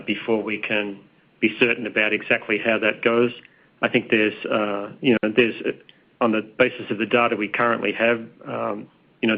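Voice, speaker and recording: A man speaking as a company executive, giving measured, careful answers on an earnings call. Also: on the low side, gravelly. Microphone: conference call line. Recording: slightly dull conference-call audio.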